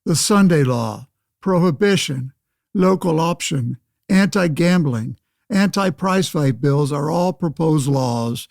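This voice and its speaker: High-pitched, pompous windbag senator. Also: male voice, lively intonation, takes it slowly, gravelly, a little mellow, no room sound, clean studio sound, exaggerated voice. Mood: grumbling bluster. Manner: pompous, theatrical, declamatory. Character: blustering pompous male 1910s anti-suffrage senator, old-time windbag orator. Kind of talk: old-fashioned political oratory, pseudo-radio play acting.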